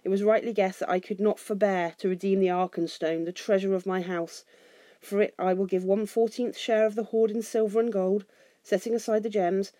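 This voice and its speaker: Storybook dwarf king, read by a woman: haughty, stubborn, scornful, quick to wrath. Dry recording. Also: mid-range voice, dry recording, lightly acted. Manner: imperious, scornful, shouting in rage.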